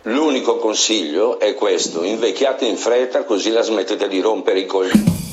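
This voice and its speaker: A man's voice: on the low side, normal speed, soft background music, natural voice. Man acting a blunt scolding grump, echoey. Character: grumpy man scolding young people to age fast.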